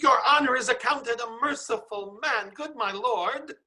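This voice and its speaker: High, bright pleading madam, acted by a woman. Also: measured pace. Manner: pleading, flattering. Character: Mistress Overdone, pleading brothel madam. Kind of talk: staged reading of Shakespeare.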